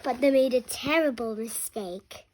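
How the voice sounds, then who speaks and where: A seven-year-old child reading a funny children's book aloud, expressively and with some halting stumbles over words. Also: mid-range voice, slight background noise. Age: 7 years old. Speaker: young reader.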